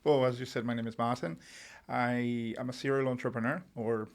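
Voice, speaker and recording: A middle-aged man from Uruguay, raised in Spain, telling his story as an interview guest in a relaxed way, with many fillers and restarts. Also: medium pitch, a little fast. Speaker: entrepreneur guest. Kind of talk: podcast interview.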